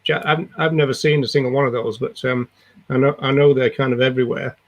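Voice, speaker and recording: An older man with a croaky voice from a cold, co-hosting a casual video-call discussion in a rambling, hesitant way. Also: medium pitch, fairly even intonation, fast talker. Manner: rambling, hesitant, lots of restarts. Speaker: co-host. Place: home room.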